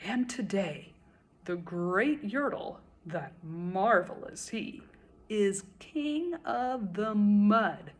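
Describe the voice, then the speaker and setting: A woman reciting a children's story in verse as a storyteller, with expressive, dramatic and deliberate phrasing. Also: medium pitch.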